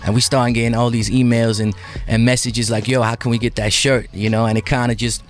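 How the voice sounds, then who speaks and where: A man's voice: medium pitch, flat delivery, a little fast, soft background music. A man speaking as an interview guest in a casual, conversational storytelling way, with informal slangy phrasing. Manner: informal hip-hop slang, frequent "you know what I mean".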